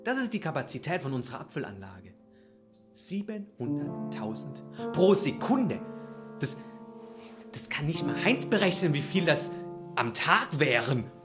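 A man's voice: on the high side, sing-song, unhurried. A man playing a brooding bookkeeper in an improvised audio play, musing aloud in short, broken phrases. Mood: brooding, disbelieving. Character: brooding bookkeeper muttering over ruinous figures. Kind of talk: improvised audio drama monologue. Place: small dark curtained stage. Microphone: stand microphone. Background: loud plucked guitar.

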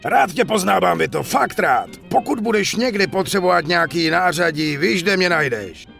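A middle-aged veteran selling guns in a game cutscene. His voice is highish and heavily gravelled, swinging freely as he chats, swears and shows off. Faint music plays behind him. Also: expressive, fairly bright tone, exaggerated voice. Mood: friendly. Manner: talkative, foul-mouthed, showy sales patter. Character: chatty, hearty one-eyed war veteran gun dealer. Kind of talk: dubbed video game cutscene acting.

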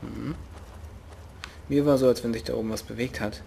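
A man's voice: mid-range voice, steady tone, normal speed, gravelly, mellow, a little hiss or hum. A young man with a regional German dialect, commenting casually and jokingly while he plays a game. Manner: joking, playful, talks to himself. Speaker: let's play host.

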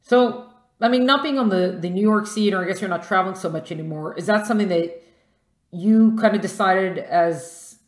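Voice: mid-range voice, normal speed, echoey room. A podcast host asking questions casually over a video call, with frequent encouraging backchannel. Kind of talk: casual interview chat.

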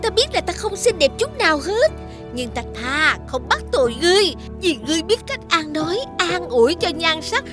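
A woman's voice: very high-pitched, big pitch swings, normal speed, over music. A woman playing an irritable, imperious moonlight witch in a scripted children's fairy tale, scolding dramatically. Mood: bitter. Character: ugly, irritable moonlight witch hiding in a well.

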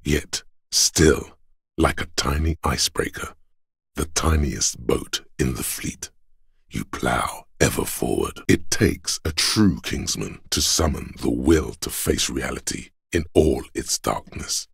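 An actor playing the grave, commanding inner voice of a battered detective's body in a video game, gravelly and husky, speaking with solemn, portentous deliberation. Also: male voice, a bass voice, expressive, takes it slowly, no room sound, clean studio sound, heavily acted. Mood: solemn, rousing. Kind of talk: solemn dramatic game narration.

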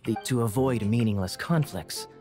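An actor playing a suave young knight in an anime game, with a smooth, theatrical, tongue-in-cheek manner. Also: male voice, mid-range voice, normal speed, natural voice. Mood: storytelling. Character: suave, teasing young male knight with an eye patch. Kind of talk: anime video game dialogue. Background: game music.

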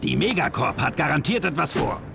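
A man's voice: a little high, expressive, brisk, vocal fry. A man voicing a bumbling, boastful alien villain in a game cutscene, blustering and stumbling over his words. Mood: suspicious, scheming. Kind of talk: animated game cutscene acting. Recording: music behind.

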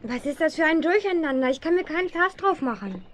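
Casting: inquisitive teen girl sleuth, high voice, earnest and eager, children's audio drama. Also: sing-song, fairly quick, a little hiss or hum. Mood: puzzled, eager. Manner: earnest, questioning. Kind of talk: German radio play acting for young listeners.

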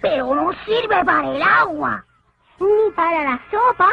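An actor voicing a curious, excitable small child in an educational cartoon, with childlike, exclamatory questioning. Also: very high-pitched, unhurried, gravelly, exaggerated voice. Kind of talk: animated educational cartoon dialogue. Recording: dull lo-fi old cartoon soundtrack, faint music.

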